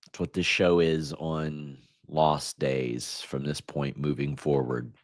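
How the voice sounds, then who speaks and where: A man hosting a solo sports talk stream, hoarse and frustrated, venting like a fan and often restarting his sentences. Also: rumbling low, flat delivery, slow pace. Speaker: host.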